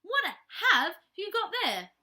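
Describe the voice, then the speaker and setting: A woman voicing a fierce but kindly housekeeper in a children's story, brisk, bossy and given to exclaiming. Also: very high voice, huge pitch swings, sharp tone, voice pushed up, heavily acted. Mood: astonished. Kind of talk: children's storybook character reading.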